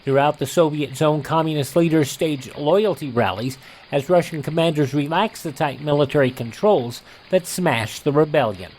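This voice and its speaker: A man's voice: on the high side, moderate pace, a little mellow, a little hiss or hum, rough recording. A man with an American accent working as a radio host, reading scripted announcements in a presenter's style. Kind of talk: scripted narration of ads and old news headlines.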